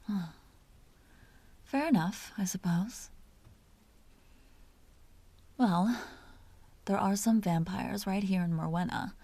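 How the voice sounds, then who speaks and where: A mellow, husky vampire duchess, voiced by a woman murmurs close and dry, often slipping into a breathy whisper. She is imperious and possessive, teasing with a tender streak, in the manner of an intimate audio drama. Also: fairly low pitch, no echo. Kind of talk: intimate scripted audio roleplay.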